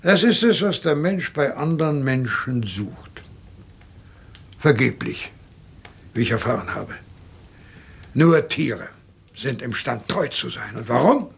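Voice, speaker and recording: An actor playing a bitter, imperious old rich man in a radio crime drama, husky and theatrical in his commands. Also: mid-range voice, a bit bright, heavily acted. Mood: bitter, wounded.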